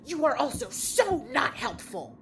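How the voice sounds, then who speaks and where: Actress playing a high-voiced, exasperated woman. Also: big pitch swings, unhurried, creaky, a bit bright, slight background noise, full character voice. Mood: anxious. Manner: fretful, exasperated. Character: exasperated, anxious woman, easily wound up. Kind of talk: audio drama acting.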